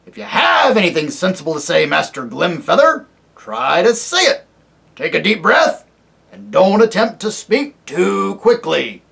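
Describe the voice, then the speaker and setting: A reader voicing a very old, deaf and irritable male dwarf who talks loudly and mishears words, in a storybook reading. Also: medium pitch, big pitch swings, measured pace, vocal fry, pitched above the performer's own voice, exaggerated voice. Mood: grumbling. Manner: loud, testy, mishears words.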